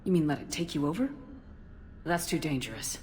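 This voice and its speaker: A woman's voice: low-pitched, expressive, a little fast, a little mellow. A woman voicing a determined military starship commander in a video game, with a clipped, authoritative delivery. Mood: firm, wary.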